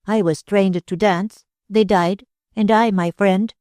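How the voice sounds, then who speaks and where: A woman's voice: mid-range voice, steady tone, slow, darkish tone, no echo, studio quality, voice pushed up, natural voice. A woman voicing a fiery, jealous Spanish dancer who speaks broken English with a Spanish accent and a tempestuous, dramatic manner. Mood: passionate.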